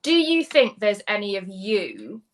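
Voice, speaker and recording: A woman's voice: medium pitch, huge pitch swings, measured pace, a loud crowd. A woman hosting a casual video interview with an author, enthusiastic and effusive. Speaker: host.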